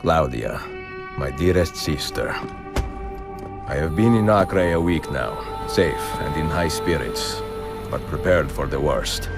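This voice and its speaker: An actor playing a thoughtful, middle-aged veteran assassin, narrating in a measured, solemn way for a video game. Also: male voice, a bass voice, expressive, gravelly, music in the background, full character voice. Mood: solemn, wary. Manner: measured, grave, reflective.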